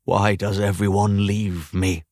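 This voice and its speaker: A man's voice: on the low side, little pitch movement, unhurried, a little mellow, no echo, clean studio sound. A man playing a bitter, bewildered old man in a storybook reading, with formal, old-fashioned speech. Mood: sorrowful, bewildered.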